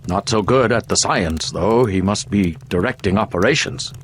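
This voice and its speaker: An actor playing a paranoid, ailing conspirator in a video game, speaking formally and warily, prone to coughs. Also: male voice, lowish voice, normal speed, a little hiss or hum, close to an everyday voice. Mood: grave, informative. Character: ailing, paranoid conspirator, a weary grown man.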